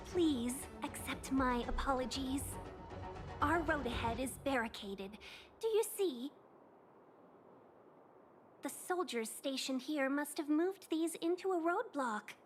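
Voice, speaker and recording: An actress playing young women characters in a fantasy adventure game, with theatrical, expressive delivery of scripted lines. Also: female voice, high-pitched, takes it slowly. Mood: wary, polite. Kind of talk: scripted video game dialogue. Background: game music.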